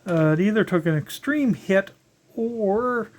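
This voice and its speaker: An older electronics hobbyist giving a casual, unscripted teardown narration, halting and musing aloud while working with their hands. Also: mid-range voice, animated. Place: indoors at a workbench.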